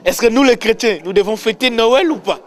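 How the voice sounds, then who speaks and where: A man hosting a religious interview in a halting, repetitive way. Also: very high voice. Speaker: religious show host.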